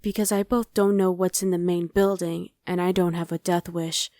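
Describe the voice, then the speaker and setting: A friendly young woman survivor, acted by a woman in an ASMR roleplay, with a soft-edged mellow tone on the low side. The recording is very dry and close. She talks casually and a little hesitantly, matter-of-fact about surviving demons. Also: little pitch movement, normal speed, no echo, natural voice. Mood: casual, musing. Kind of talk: ASMR roleplay, conversational acting addressed to the listener.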